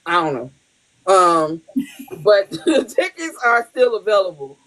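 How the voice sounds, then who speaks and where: A woman's voice: medium pitch, big pitch swings, measured pace, a bit bright, rough recording. A woman hosting a podcast in casual chat, blunt and profane, laughing often. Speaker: podcast host.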